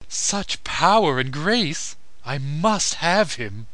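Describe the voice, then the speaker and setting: A man's voice: a little high, sing-song, takes it slowly, sharp tone, dry recording, heavily acted. A man voicing a smitten, effete male army commander, suave and suggestive, in a comedic anime parody dub.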